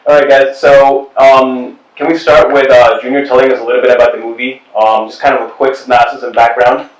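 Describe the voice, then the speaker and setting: A man hosting a podcast interview, relaxed and playful with his guests. His voice carries a roomy echo and sits at a distance from the mic, at an ordinary middle pitch. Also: brisk. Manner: relaxed, joking questioner. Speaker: podcast host. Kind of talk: casual interview.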